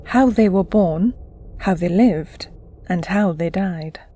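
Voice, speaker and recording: A woman playing a kindly, learned explorer in an audio drama, speaking in a steady, gentle manner. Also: on the low side, slow, a little hiss or hum. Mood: reverent.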